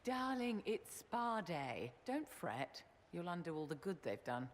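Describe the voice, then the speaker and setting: A woman's voice: fairly low pitch, sing-song, unhurried. A woman voicing a haughty, witty society lady mage in a video game, theatrical and condescending, with drawled endearments. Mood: breezy, coaxing. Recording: clear background ambience noise.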